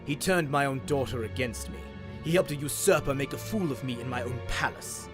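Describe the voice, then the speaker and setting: A man voicing a stern, cruel aging king who speaks in a measured, menacing way. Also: medium pitch, music in the background, close to an everyday voice.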